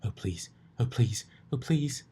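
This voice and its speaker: A man's voice: mid-range voice, lively intonation, slow pace, mellow, pitched above the performer's own voice. A man voicing a frantic female unicorn fashionista in a theatrical, pleading manner for a story reading.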